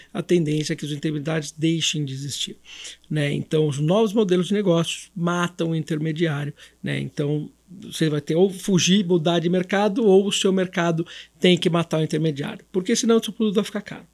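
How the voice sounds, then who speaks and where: A man's voice: a little high, fast talker. A Brazilian man giving business advice as a podcast guest, in a didactic, emphatic way, setting out point after point.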